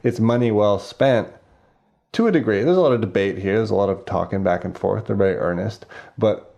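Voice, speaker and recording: A man's voice: on the low side, fairly quick, vocal fry, dark tone. A man hosting a casual podcast conversation, speaking emphatically and with wry opinions. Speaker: podcast host.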